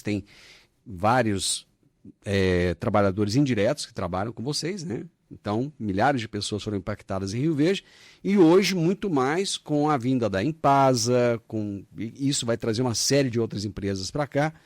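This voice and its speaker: A man's voice: medium pitch, no echo, studio quality. A man hosting an interview, talking in a friendly, chatty way and calling his guest "cara". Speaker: podcast host, interviewer. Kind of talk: casual interview conversation.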